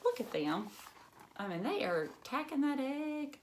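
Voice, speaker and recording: A woman reading a children's picture book aloud in an expressive, emphatic way, with asides and questions to young listeners. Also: high-pitched, unhurried, a little hiss or hum.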